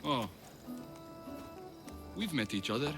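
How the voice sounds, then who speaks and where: A man's voice: medium pitch, sing-song, slow pace, over music. An actor playing a reflective, tender young male wanderer in a video game cutscene.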